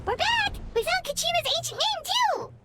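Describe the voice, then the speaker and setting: A woman voicing a tiny, excitable fairy companion in a game, with a squeaky childlike voice. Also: normal speed, noisy background. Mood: curious, excitable. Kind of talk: animated game voice acting.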